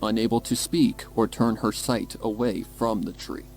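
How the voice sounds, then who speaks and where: A man's voice: on the low side, fairly even intonation, normal speed, darkish tone, a little hiss or hum. A man reading scripted, dramatic story narration. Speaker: fan fiction narrator.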